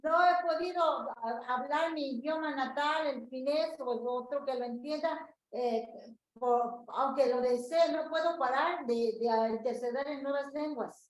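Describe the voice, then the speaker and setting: A woman's voice: high-pitched, unhurried, echoey room, rough recording. A woman interpreting a sermon, fluently repeating and expanding each phrase for the congregation.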